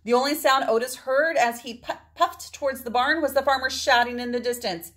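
Female storyteller, 40s, slightly high pitch, deliberate dramatic picture-book read-aloud with asides to young listeners. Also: a bit bright. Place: indoors, small room at home.

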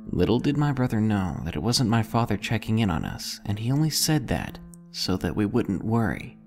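A man narrating a scripted first-person ghost story as a horror storyteller. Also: lowish voice.

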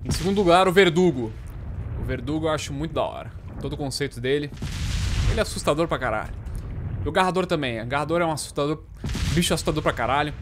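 Higher-pitched man, game streamer. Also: background noise. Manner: slangy, sweary, excitable banter. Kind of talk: casual live gameplay commentary. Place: small indoor room.

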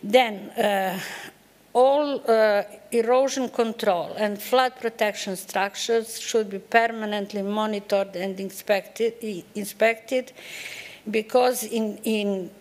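Slow, deliberate older woman lecturing. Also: medium pitch, fairly even intonation. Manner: measured, deliberate, with frequent hesitations. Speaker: keynote speaker. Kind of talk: conference presentation. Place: large conference hall, at a lectern. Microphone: lectern microphone.

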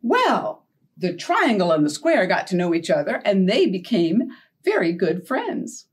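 A woman's voice: medium pitch, a little slow, slightly rough. A middle-aged American woman leading a children's storytime, speaking simply and encouragingly to young listeners as she teaches and tells stories. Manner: animated, encouraging, addresses young children with questions. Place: indoor storytime set with backdrop and felt board.

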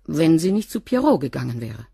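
A woman's voice: low voice, very animated, a little fast, creaky, warm tone, faint music behind, exaggerated voice. An actress playing a blunt, evasive cleaning woman in a radio drama who gives curt answers. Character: blunt, evasive older charwoman. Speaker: cleaning woman being questioned.

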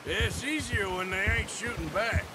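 High-pitched, drawling cowboy outlaw played by a man. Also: normal speed, very noisy, natural voice. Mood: reassuring. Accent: American Western. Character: rugged, sardonic cowboy outlaw on the run. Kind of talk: video game cutscene acting. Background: loud music.

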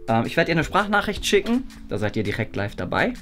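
A man's voice: on the high side, very animated, fast. A man in his thirties chatting casually as host of an online video. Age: 30s. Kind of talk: casual vlog chat. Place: indoor office rooms and stairwell. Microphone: handheld or propped vlog camera. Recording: echoey room, loud music behind. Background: music.

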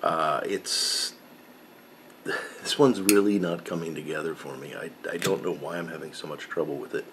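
Middle-aged man, very low voice, rambling casual workshop chat, faint hum behind. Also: steady tone, normal speed, a bit bright. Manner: rambling and self-correcting, with frequent fillers. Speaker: pipe-smoking woodworking channel host. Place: home workshop with pegboard of tools.

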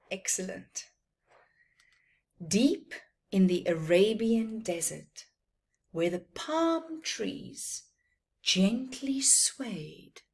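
A woman's voice: mid-range voice, darkish tone. A middle-aged woman with a South African accent and Afrikaans as her first language, reading a story to children emphatically with teacherly asides. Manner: slow, deliberate, emphatic delivery with teacherly asides. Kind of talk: expressive read-aloud storytelling to children. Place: small plain room at home, indoors.

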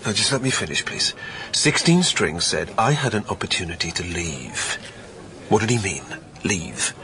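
A man's voice: low voice, expressive, moderate pace, bright, forceful tone. An actor playing a bewildered, anxious father in an audio drama, insistent and full of agitated questions. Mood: uneasy, insistent. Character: bewildered, anxious divorced father, a research scientist.

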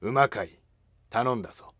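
A man playing a scheming, calculating court noble of ancient Japan, speaking formally in a historical animated drama. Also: medium pitch, moderate pace, slightly rough, no room sound, studio quality. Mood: sly, commanding.

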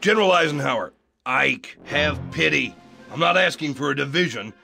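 A gravelly, brash general. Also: male voice, on the high side, expressive, normal speed, bright, forceful tone, heavily acted. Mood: pleading. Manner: pleading, cocky and restless. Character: brash, impatient American tank general. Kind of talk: video game cutscene acting. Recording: over background music.

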